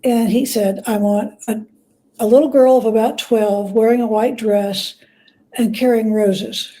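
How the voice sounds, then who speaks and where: A woman's voice: fairly high pitch, fairly even intonation, a little slow, dark tone, rough recording. A woman speaking as an interview guest, telling anecdotes conversationally and acting out bits of dialogue. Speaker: guest biographer.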